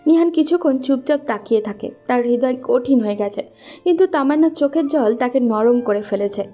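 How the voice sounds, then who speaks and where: A woman's voice: high-pitched, animated, a little fast, warm tone, faint music behind. A woman narrating a scripted romantic story.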